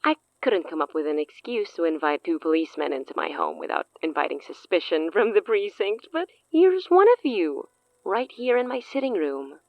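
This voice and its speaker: An actress playing a poised, teasing society hostess with veiled menace in an audio drama. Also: female voice, fairly low pitch, sing-song, moderate pace, no room sound, highs cut off. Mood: arch, welcoming. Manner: arch, theatrical, veiled menace.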